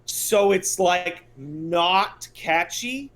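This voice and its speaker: A man with a fairly high voice talks casually as a podcast co-host. He rants in an emphatic, exasperated way and leans on hyperbole to make each point. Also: expressive, drawn-out words, a bit bright. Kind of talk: casual podcast chat, ranting commentary.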